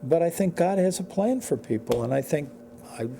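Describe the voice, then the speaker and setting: A man in his seventies with an American accent, a teacher reminiscing casually in a folksy, halting way with short phrases. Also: a little high, lively intonation, vocal fry, noisy background. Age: 70s. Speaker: high school teacher.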